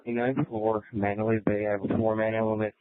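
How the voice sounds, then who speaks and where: Brisk, flat-toned policeman on radio. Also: on the low side, no room sound. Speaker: police officer on duty. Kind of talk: terse police radio reporting. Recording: narrow, tinny police scanner radio.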